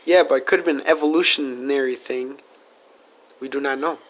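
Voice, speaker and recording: A man's voice: medium pitch, steady tone, brisk, fairly bright tone, poor audio. A man hosting a casual podcast, speaking in an earnest, speculative way as he argues his case. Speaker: podcast host asking questions.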